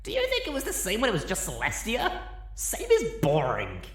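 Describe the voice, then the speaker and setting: A man's voice: high voice, huge pitch swings, normal speed, a bit bright, reverberant, pitched above the performer's own voice, exaggerated voice. A man voicing a brash, cocky young female pegasus in a story.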